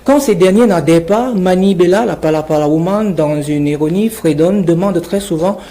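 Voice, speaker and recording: A Cameroonian man presents a scripted portrait as a show columnist. His voice sits on the high side with a mellow tone. He declaims it theatrically, like a showman. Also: moderate pace. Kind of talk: scripted portrait read to a guest.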